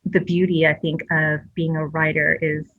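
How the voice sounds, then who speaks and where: A woman's voice: low voice, level tone, normal speed, no echo, phone-quality sound. A woman speaking casually as a guest novelist in a panel conversation. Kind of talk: casual chat.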